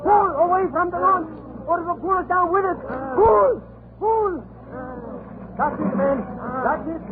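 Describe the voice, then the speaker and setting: An actor playing an authoritative, impatient Tsarist government inspector in an old radio drama, brisk and commanding. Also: male voice, very high voice. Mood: urgent commanding. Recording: tinny, noisy old radio recording.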